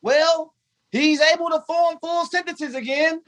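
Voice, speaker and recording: A man's voice: extremely high pitch, fairly even intonation, a little slow, noisy background. A man playing a loyal but cheeky household valet in 1950s radio comedy style, with dry, sassy punchlines. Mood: wry.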